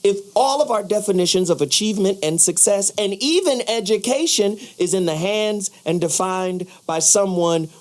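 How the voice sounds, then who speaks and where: A man hosting a talk show, emphatic and quick to agree with his guests. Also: high-pitched. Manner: emphatic, lots of "mm-hmm" backchannel. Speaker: host. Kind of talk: radio talk show chat.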